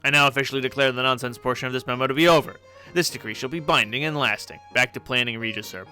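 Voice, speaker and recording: A grumpy teenage troll leader, bossy and ranting, swearing in exasperation at everyone. A man acts him out in a bright, tense voice, with faint music in the background. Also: mid-range voice, fairly even intonation, quick pace. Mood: exasperated.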